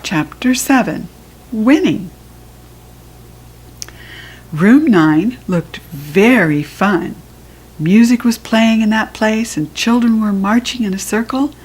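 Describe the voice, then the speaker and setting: A woman with a General American accent reading a children's storybook aloud, with expressive, childlike first-person narration. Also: on the high side, slow, long pauses, husky. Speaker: children's book reader.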